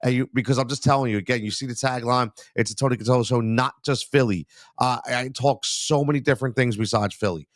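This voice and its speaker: A man's voice: mid-range voice, fast talker, no room sound, clean studio sound. A man with a cold hosting a live sports talk show, rambling and repeating himself, calling listeners man. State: congested from a cold.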